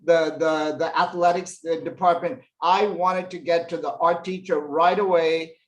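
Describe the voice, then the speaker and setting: A man's voice: a little high, steady tone, normal speed, muffled recording. A man from Nepal, a fashion illustration professor, telling stories from his life as an interview guest, with lots of fillers. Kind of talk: relaxed storytelling interview answers.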